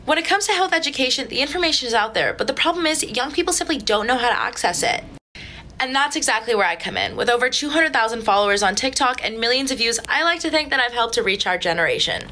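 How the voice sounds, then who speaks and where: A woman's voice: a little high, animated, fast, bright, forceful tone, a little hiss or hum. A young American woman, a student educator, delivering a scripted introduction to her work. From California, United States. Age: young adult. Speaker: student social media educator.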